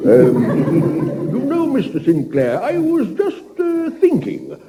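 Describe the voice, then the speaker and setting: A man's voice: sits high, huge pitch swings, slow, very noisy, heavily acted. A man playing a polite, hesitant vicar who stammers genteelly, in a 1960s radio sitcom. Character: polite, fussy middle-aged vicar. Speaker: vicar character. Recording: reverberant old radio studio.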